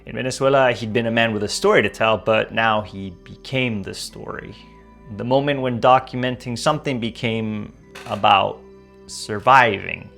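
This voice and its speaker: A man's voice: fairly low pitch, moderate pace, creaky, fairly bright tone, soft background music. A man hosting a podcast over a video call, narrating a dramatic intro and then chatting hesitantly with many fillers. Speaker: podcast host. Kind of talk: scripted narration then casual interview.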